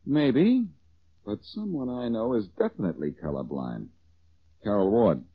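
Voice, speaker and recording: A man's voice: lowish voice, big pitch swings, slightly rough, exaggerated voice. A man playing a wisecracking, cocky amateur detective with sardonic retorts, in an American accent and vintage radio drama style. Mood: shrewd, deducing. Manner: sardonic, quick with dry retorts. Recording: dull, muffled old-time radio recording.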